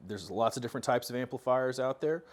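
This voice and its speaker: A man's voice: mid-range voice, quick pace. A man in his thirties with an American accent, hosting an informal instructional talk to camera and explaining things casually. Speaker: host of a product tech talk series. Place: indoor workshop studio with metal cabinets.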